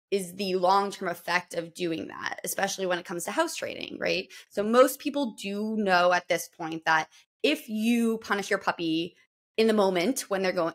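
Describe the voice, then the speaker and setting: Dog trainer lady on a podcast, middle pitch, patient and earnest, walks you through it. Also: fairly bright tone. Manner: explanatory, earnest. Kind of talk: podcast conversation.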